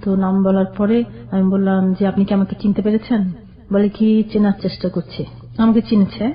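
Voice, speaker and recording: Older woman guest, halting storyteller, muffled radio sound. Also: medium pitch, little pitch movement. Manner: plain, halting recollection. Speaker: caller guest. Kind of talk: personal storytelling.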